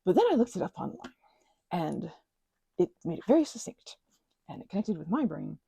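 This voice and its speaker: A woman with a low, heavily gravelly voice that turns husky and breathy and drops into whispers now and then. She chats in a rambling, giggly way with lots of fillers, and her pitch swoops widely. The sound is close and dry. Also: sing-song, normal speed, dark tone, no echo. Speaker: ASMR channel host. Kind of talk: soft-spoken rambling chat.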